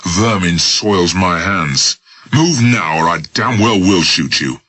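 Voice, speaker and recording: A man's voice: sits low, takes it slowly, husky, dry recording, full character voice. A male reader voicing a ruthless man who threatens and mocks a frightened captive. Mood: contemptuous. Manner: forceful, menacing, mocking.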